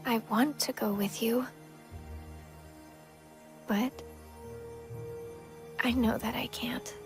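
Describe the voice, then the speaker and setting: A woman playing an earnest, determined teenage girl hero in a video game, soft and sincere. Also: fairly high pitch, fairly even intonation, drawn-out words, over music. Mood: wistful, resolute.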